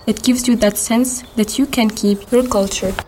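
A woman's voice: on the high side, fairly even intonation, moderate pace, warm tone, a little hiss or hum. An eighteen-year-old woman leading a conversation, speaking in a friendly, careful way. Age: 10s. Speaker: interviewer.

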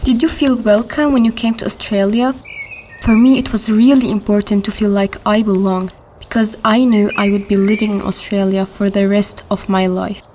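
A woman's voice: mid-range voice, fairly even intonation, moderate pace, warm tone, slight background noise. An eighteen-year-old woman leading a conversation, speaking in a friendly, careful way. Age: 10s. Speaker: interviewer.

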